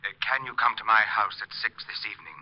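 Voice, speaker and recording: An actor playing a wealthy, fussy client who speaks formally and politely, with some hesitation, in old-time radio style. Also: male voice, fairly low pitch, steady tone, fast, natural voice. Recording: dull vintage radio, telephone sound.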